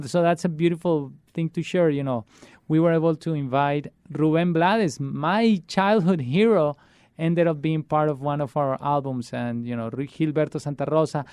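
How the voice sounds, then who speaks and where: A man's voice: on the high side, a little mellow, clear, resonant tone, dry recording, clean studio sound. A man, a children's musician being interviewed, talking earnestly and often restarting his sentences. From Colombia. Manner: earnest, halting with many restarts. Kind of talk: casual interview talk.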